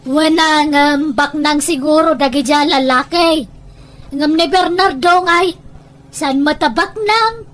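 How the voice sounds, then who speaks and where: Woman acting very high-pitched, nosy village wife. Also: slow, a bit bright. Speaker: voice actor in an Ilocano audio drama. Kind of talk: dramatic dialogue.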